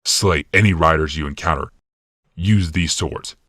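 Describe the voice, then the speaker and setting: A man voicing an imperious, calculating male military ruler in audiobook dialogue, measured, commanding and condescending. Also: a bass voice, bright, forceful tone, no echo, studio quality, heavily acted.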